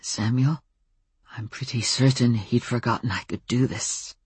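A woman voicing an old male werewolf in an audiobook, speaking slowly and thickly in halting phrases with a Welsh accent. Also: fairly even intonation, husky, dry recording, heavily acted. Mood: controlled, thoughtful. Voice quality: low, hushed, slow and thick. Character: old male werewolf, slow, menacing yet almost shy. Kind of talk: audiobook character dialogue.

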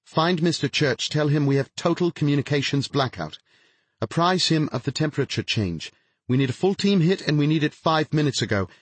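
Commanding female military leader, played by a male narrator, raised pitch, clipped and urgent, audiobook thriller. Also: lively intonation, fairly quick, breathy edge, dry recording. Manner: clipped, barking orders.

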